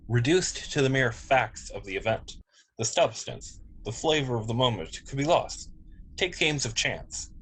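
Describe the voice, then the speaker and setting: A man playing a polite, logical android officer in a science fiction table read, speaking formally and precisely with calm dignity. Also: mid-range voice, unhurried, low-quality recording. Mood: calm, explanatory. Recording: clear background noise, room ambience.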